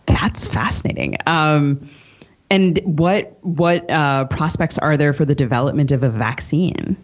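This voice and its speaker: A woman podcast co-host talks in a conversational interviewing style. She phrases things haltingly, searching for the right words. The sound is somewhat dull and lo-fi. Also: sits low. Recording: dull, lo-fi audio.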